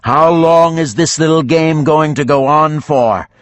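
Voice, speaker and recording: A man voicing a huge menacing dragon that speaks with slow, falsely courteous cruelty in an audiobook. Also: mid-range voice, sing-song, dry recording, pitched above the performer's own voice. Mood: irritated. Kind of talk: audiobook character voice.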